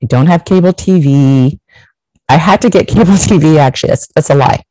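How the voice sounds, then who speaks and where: A middle-aged woman from Portugal talks straight to her viewers with blunt emphasis and the odd swear word. Her voice is warm and mellow, and the close recording is dry with no room sound. She speaks in a candid, advice-giving monologue. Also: deep voice, fairly quick, no echo, poor audio. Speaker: vlogger.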